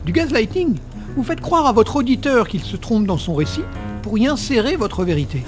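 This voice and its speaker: A man's voice: extremely high pitch, big pitch swings, moderate pace, over music. A man acting an amateur sleuth laying out accusations in a French audio drama. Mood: accusing.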